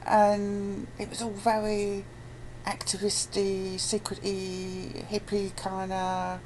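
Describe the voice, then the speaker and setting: A woman's voice: mid-range voice, monotone, slow, a little mellow, rough recording. A woman chatting casually and conversationally. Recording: faint background noise.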